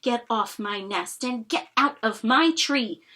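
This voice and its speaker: A woman's voice: a little high, animated, slow, bright, forceful tone, exaggerated voice. A woman voicing a lazy, selfish female bird for a storybook, exclamatory and grasping. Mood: indignant.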